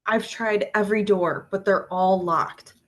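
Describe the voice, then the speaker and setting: A woman acts out a determined young woman. Her voice is mid-pitched and natural, practical in manner, as in a script table read. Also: a little slow, natural voice. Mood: frustrated. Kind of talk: screenplay table read acting.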